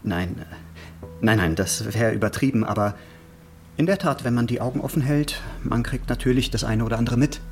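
A man's voice: mid-range voice, moderate pace, slight background noise, lightly acted. An actor playing a nosy, officious male neighbour in a radio drama, speaking in a hesitant, wordy, pedantic way. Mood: self-important. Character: nosy, officious male neighbour who logs his fellow tenants. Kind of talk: naturalistic radio drama acting.

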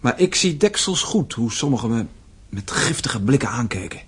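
An actor in a radio play playing a serious, dutiful young son and heir, earnest and blunt. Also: male voice, mid-range voice, very animated, normal speed, a bit bright, breathy edge, full character voice. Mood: serious, foreboding. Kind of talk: Dutch radio play acting.

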